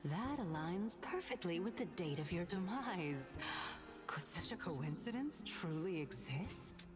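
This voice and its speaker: A woman's voice: on the low side, lively intonation, measured pace, music in the background. An actress voicing a mysterious, languid woman in a fantasy video game, teasing and darkly prophetic. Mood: teasing, ominous.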